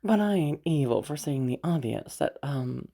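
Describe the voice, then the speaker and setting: A woman playing a teasing, self-assured, sardonic yet protective young woman in a listener roleplay, rambling and halting as she talks. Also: sits low, expressive, creaky, dark tone, heavily acted. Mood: teasing, reasoning. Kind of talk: roleplay audio drama addressed to the listener.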